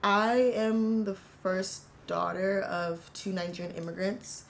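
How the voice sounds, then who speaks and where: A woman in her twenties from the United States, telling her family story in an earnest, reflective interview, pausing often as she goes. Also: mid-range voice, fairly even intonation, creaky. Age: 20s. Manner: earnest, reflective, halting with frequent fillers. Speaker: interviewee, university student. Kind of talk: casual personal storytelling.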